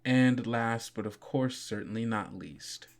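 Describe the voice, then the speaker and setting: A game master and podcast host with a medium-pitched voice. The talk is casual and informal, full of joking asides between bits of narration. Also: a little slow.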